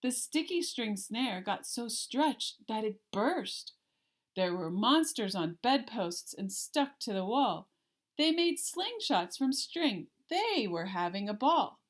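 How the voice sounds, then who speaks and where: A woman reading a children's picture book aloud like a teacher, with deliberate, expressive storytelling and emphasis on the rhymes. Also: on the high side. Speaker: elementary art teacher.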